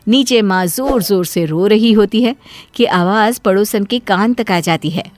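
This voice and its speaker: A woman narrating a moral cartoon story in a simple, measured way. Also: medium pitch, darkish tone, no room sound.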